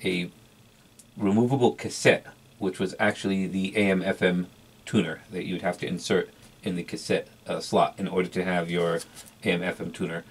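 A middle-aged man with a very low voice and plenty of gravelly fry talks through a product review off the cuff. He rambles a little, hesitating and doubling back on words for emphasis, in the casual way of a hobby collector. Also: steady tone, moderate pace. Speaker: vintage electronics collector and reviewer.

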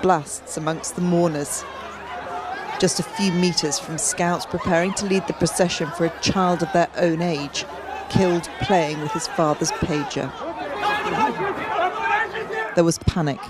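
A woman's voice: fairly low pitch, fairly even intonation, a little slow, pauses often. A woman delivering a scripted television news report as a correspondent. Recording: noisy street crowd and traffic. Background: crowd and vehicles.

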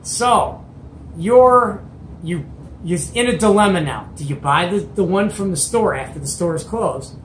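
Middle aged midwest guy telling a story, chatty n animated, slangy, echoey room. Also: sits high, unhurried, slight background noise. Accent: American Midwestern. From Detroit area, United States. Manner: animated, conversational, uses rhetorical questions and slangy asides. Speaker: host of a CB radio show-and-tell. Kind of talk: casual storytelling. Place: small cluttered indoor radio room. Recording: echoey room, mic at a distance.

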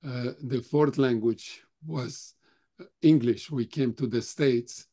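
An older man whose first language is German, slowly answering questions at a remembrance talk with many halting pauses. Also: mid-range voice, warm tone. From Europe; grew up speaking German, Russian, Romanian. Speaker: Holocaust survivor guest speaker.

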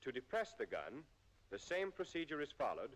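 An American man reading a scripted 1940s military training film narration in a formal, measured way with precise enunciation. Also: medium pitch, very animated. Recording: 1940s film soundtrack, long reverb.

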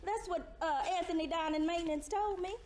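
A woman's voice: extremely high pitch, fairly quick, a crowd in the background. A woman playing a prim, indignant, principled young hotel worker in a stage comedy, stretching her key words emphatically. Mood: curious. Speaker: stage actor. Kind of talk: broad comedic stage acting. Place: indoor theater stage. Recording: echoey noisy theater stage.

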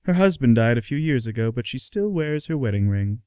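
A man giving a dramatic scripted narration with deliberate, suspenseful phrasing. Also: medium pitch, sing-song, warm tone, smooth, no echo, clean studio sound.

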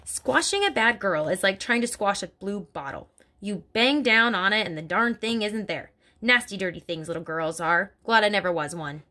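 A woman's voice: medium pitch, expressive, moderate pace, sharp tone. A woman reading aloud voices a bullying, hulking headmistress who barks, snorts and speaks with open contempt. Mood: bellowing scorn.

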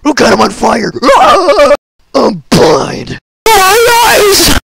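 Theatrical man, high-pitched and wildly animated. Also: drawn-out words, creaky. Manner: exaggerated, theatrical, swearing outbursts. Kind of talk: comedic game voice lines in put-on character voices.

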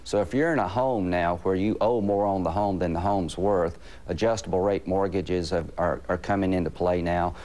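Deep-voiced middle-aged man, firm. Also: moderate pace, few pauses. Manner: steady, firm, some uh fillers. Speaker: senator, remote guest. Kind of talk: political talking points. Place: remote studio.

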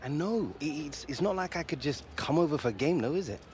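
Man as a lively young footballer. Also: fairly high pitch, fast, a little hiss or hum, natural voice. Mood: friendly banter. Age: young adult. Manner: slangy, laid-back. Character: confident, friendly young male star footballer. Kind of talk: acted game cutscene dialogue.

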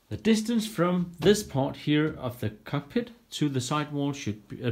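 A man giving a frank, self-deprecating walkthrough of his home build, filming on a handheld phone in a home workshop room. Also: medium pitch. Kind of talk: casual walkthrough vlog. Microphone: handheld phone camera.

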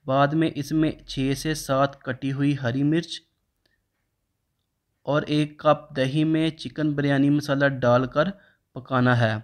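A man's voice: mid-range voice, takes it slowly. A man, narrating a home cooking recipe step by step as the channel host. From Pakistan. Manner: steady step-by-step instructional tone.

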